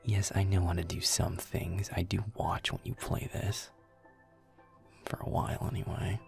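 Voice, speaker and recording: Man playing a gravelly, muttering grumpy werewolf boyfriend. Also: low-pitched, level tone, normal speed, darkish tone, faint music behind. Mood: defensive. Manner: muttering, defensive, sarcastic. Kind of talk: roleplay audio, gaming chat with listener.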